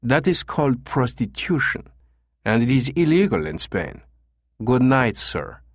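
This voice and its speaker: A man's voice: mid-range voice, very animated, unhurried, no room sound, clean studio sound, pitched above the performer's own voice. A man voicing a careful, polite businessman in an audiobook story, speaking in a formal and guarded manner. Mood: firm, dismissive. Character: careful, smooth Spanish escort agency manager, a man.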